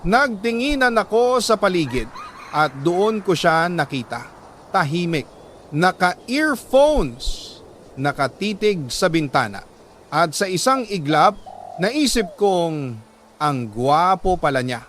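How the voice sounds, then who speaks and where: Male radio storyteller, sentimental dramatic read, unhurried with long pauses, slight background noise. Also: high voice, sing-song, fairly bright tone. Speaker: radio storyteller reading a listener's letter. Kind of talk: dramatic scripted narration of a love story.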